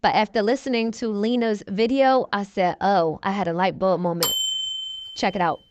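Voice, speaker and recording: A woman's voice: medium pitch, steady tone, moderate pace, background noise. A woman giving casual, chatty commentary as a host introducing clips.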